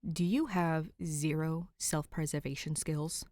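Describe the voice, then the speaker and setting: A woman's voice: low voice, fairly even intonation, measured pace, a little mellow, no room sound. Lady doing a tired stern hero guy for an audiobook, dry and exasperated, close dry sound. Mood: scolding. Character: exasperated young male masked vigilante, protective, weary. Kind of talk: audiobook character dialogue.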